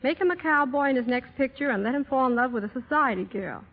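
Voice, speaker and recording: A woman's voice: sits high, animated, fast talker. A woman speaking as a guest in a scripted 1930s radio interview, formal and articulate. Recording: muffled old radio recording, long reverb.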